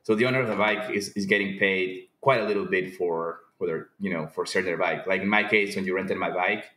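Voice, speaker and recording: A man from Peru speaking casually as an interview guest, informal and halting, repeating words as he explains. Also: sits low, fairly bright tone. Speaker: podcast guest, company founder. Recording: roomy, echoing sound.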